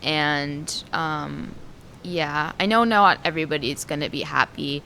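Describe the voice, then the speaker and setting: A young woman who grew up in the United States, answering interview questions as a game artist in a soft, hesitant way with many pauses. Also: deep voice, gravelly, a little hiss or hum, rough recording. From Israel, raised in the United States.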